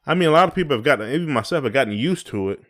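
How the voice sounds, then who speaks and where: A man's voice: mid-range voice, animated, rapid-fire, fairly bright tone. A man co-hosting a podcast in casual conversation, with a folksy, colloquial way of talking. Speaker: podcast co-host.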